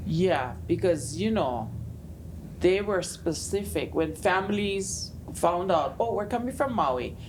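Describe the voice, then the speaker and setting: A woman's voice: fairly low pitch, expressive, slow, a little mellow. A woman from Hawaii hosting a casual interview, asking friendly, playful questions. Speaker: host. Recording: background noise.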